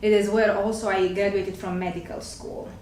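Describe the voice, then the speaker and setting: A woman's voice: mid-range voice, little pitch movement, moderate pace, a little mellow. A middle-aged woman from Romania with a Romanian accent, a gastroenterologist guest on a talk show, explaining carefully with hesitant fillers. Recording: echoey room, distant mic, faint noise.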